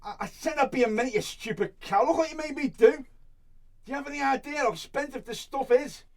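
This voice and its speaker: A man's voice: extremely high pitch, a little fast, gravelly, a little mellow. A man playing an evasive, short-tempered husband in a radio drama, curt and irritable in manner. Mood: defensive, angry.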